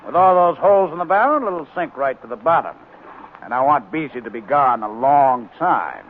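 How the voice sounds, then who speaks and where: Casting: male actor as sardonic, menacing gangland boss, animated delivery, vintage radio with heavy static. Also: mid-range voice, moderate pace, natural voice. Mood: calm, commanding. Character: cold-blooded scheming crime boss running a crooked auction room. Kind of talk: 1940s radio acting. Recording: scratchy 1940s radio, narrow band, loud noise.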